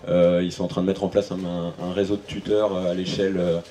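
A man in his thirties speaks on a panel in a large event tent, hesitant and halting, full of fillers and restarts. His voice sits low, with faint ambient noise behind him. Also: flat delivery, dark tone. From France (Maine-et-Loire). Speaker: farmer on panel. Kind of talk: panel discussion answers. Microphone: handheld microphone.

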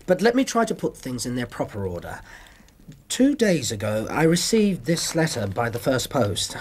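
An earnest doctor in a radio drama. He is polite, precise and formal, with a husky voice and animated rises and falls of pitch. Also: normal speed. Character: earnest, courteous male doctor recounting his case. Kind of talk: radio drama acting, storytelling.

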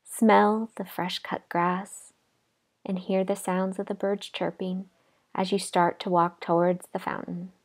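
A woman leading a guided imagery relaxation exercise in a calm, gentle, unhurried manner with pauses. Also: medium pitch. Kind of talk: guided imagery meditation narration.

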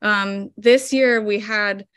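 A woman's voice: mid-range voice, steady tone, takes it slowly, a bit bright, clear, resonant tone, dry recording, studio quality. A woman in her thirties, a flower farmer guest explaining her work step by step with lots of fillers, over a video call from home. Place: home room indoors. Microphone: video call webcam.

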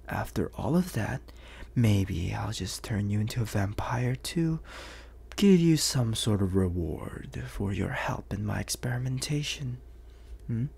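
A man voicing a suave, menacing, flirtatious vampire bounty hunter in an ASMR roleplay, teasing in breathy half-whispers with lingering pauses. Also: fairly low pitch, darkish tone, heavily acted. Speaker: voice actor.

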